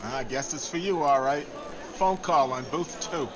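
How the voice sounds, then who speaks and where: An actor plays a polite airport page in an old radio drama. His voice is fairly high and plain-spoken, deferential toward passengers. There is noticeable bustle and noise around him. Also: measured pace, lightly acted. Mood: puzzled. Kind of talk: 1940s radio drama acting.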